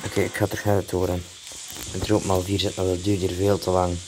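A man's voice: deep voice, completely flat delivery, fast, background noise. A man with a Flemish accent giving casual commentary while playing a game. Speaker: gaming video host.

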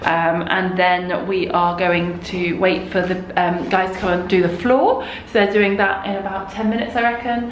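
A woman's voice: lowish voice, steady tone, normal speed, hardly any pauses. A young woman vlogging a home renovation in a casual, upbeat way, with lots of ums, filmed on a handheld phone in a half-done house. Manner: chatty, upbeat, many ums, chats to her toddler in between. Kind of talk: casual vlog to camera. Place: half-renovated house, echoey bare kitchen. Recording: echoey room, faint noise.

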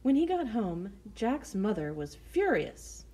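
A woman storyteller reading a scripted children's tale in measured phrases. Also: mid-range voice, very animated, mellow.

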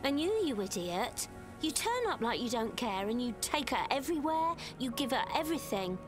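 A middle-aged mother in an audio drama, resentful and wistful. Her voice is on the high side and plain-spoken, with clear music playing beneath it. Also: sing-song, normal speed. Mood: bitter, hurt. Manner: plain-spoken, emotional monologue. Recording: music under the voice.

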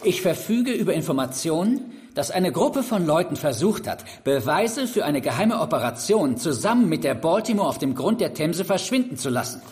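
High-pitched, combative internet crusader, male. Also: sing-song, moderate pace, a little mellow. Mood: insistent, evasive. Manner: insistent, speechifying, stammers when challenged. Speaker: talk show guest. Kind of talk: audio drama acting as a TV interview guest.

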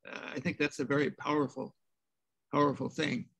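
An older man, an author, talking in a measured, halting way with many pauses on a video call from a home room with bookshelves. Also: on the high side, little pitch movement, creaky, a bit bright, breathy edge. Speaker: author interviewing the director. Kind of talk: thoughtful discussion. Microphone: video call webcam.

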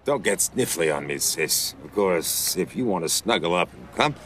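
A man voicing a cocky, lecherous, wisecracking ventriloquist's dummy with a gravelly voice in a television drama. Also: low-pitched, expressive, normal speed, fairly bright tone, slight background noise. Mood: cocky, teasing.

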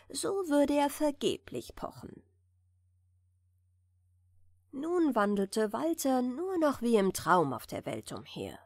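A woman narrating a fairy tale for bedtime, reading gently and soothingly, at times almost whispering. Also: medium pitch, dry recording, studio quality. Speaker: audiobook narrator.

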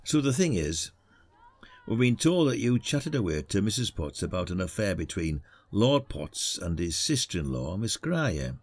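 Casting: male actor as retired British village sleuth, gruff and shrewd, low animated voice, podcast drama. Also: normal speed, no echo. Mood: accusing. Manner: probing, colloquial, accusatory. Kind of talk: audio drama acting. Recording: music behind the voice.

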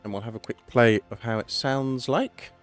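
A man with a hoarse voice, casually talking through a game update and thinking aloud as he plays. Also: medium pitch, moderate pace, soft background music. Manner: chatty, thinking aloud, self-correcting. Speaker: gaming channel host. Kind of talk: casual gameplay commentary.

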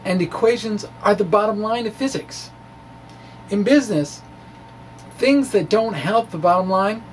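A man's voice: a little high, slight background noise, poor audio. A middle-aged man gives a dramatic reading of a science blog with emphatic phrasing and spoken asides, into a stand microphone in a small room. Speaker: science video blogger reading his own blog aloud. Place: small indoor room with bookshelf. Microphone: microphone on stand in front of him.

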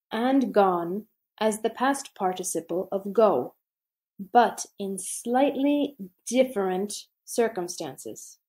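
A woman reading a scripted grammar lesson aloud, slowly and deliberately with pauses between phrases. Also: a little high, dark tone. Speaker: English teacher.

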